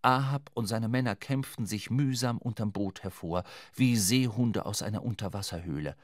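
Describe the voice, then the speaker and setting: A man's voice: lowish voice, moderate pace, no room sound. A man narrating a literary sea adventure for a radio drama, recorded close in a studio.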